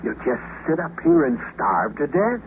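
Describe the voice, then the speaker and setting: An actor playing an easygoing, stubbornly generous American astronaut in a 1950s radio drama, with a breezy, wisecracking delivery. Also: male voice, fairly high pitch, very animated, moderate pace. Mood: friendly, insistent. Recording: muffled, hissy 1950s radio recording.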